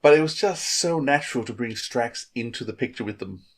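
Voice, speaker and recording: A man's voice: mid-range voice, lively intonation, fairly quick. A man co-hosting a podcast, chatting enthusiastically and rambling a bit. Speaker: podcast co-host. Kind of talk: casual podcast chat.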